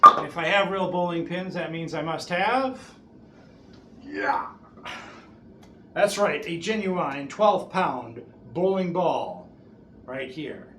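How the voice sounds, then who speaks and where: A middle-aged man delivers showman patter as a juggling host. His voice sits a little high and his delivery is theatrical. The small bare room echoes strongly, with some noise behind him. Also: animated, slow pace, long pauses. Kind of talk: showman stage patter to an audience.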